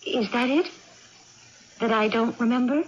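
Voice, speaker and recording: Actress as an excitable, high-voiced young woman, old radio. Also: a little slow, natural voice. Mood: elated, insistent. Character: excitable young woman with amnesia and swinging moods. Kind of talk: 1980s radio drama acting. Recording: dull, noisy old radio broadcast.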